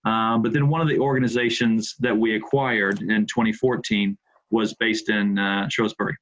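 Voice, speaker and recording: A man's voice: on the low side, fairly even intonation, normal speed, lo-fi sound, faint music behind. A middle-aged American man from the Midwest, a podcast guest chatting casually and repeating words as he starts his thoughts. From Indianapolis, USA. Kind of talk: casual interview chat.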